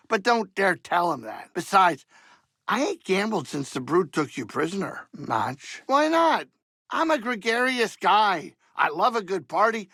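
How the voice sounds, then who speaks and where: Crusty older alien saloon owner for a video game, kinda high n gravelly, folksy wisecracks, grumbly but soft-hearted. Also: male voice, no echo, clean studio sound, exaggerated voice. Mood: cheerful, boastful. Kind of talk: video game character dialogue.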